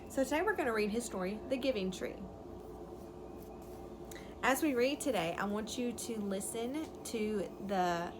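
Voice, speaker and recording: A woman teacher reading a picture book aloud to young children, pausing to explain words simply and ask questions. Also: medium pitch. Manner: instructive, explains words simply, checks understanding. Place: outdoors. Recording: clearly noisy outdoor ambience, wind chime. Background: birds chirping, wind chime.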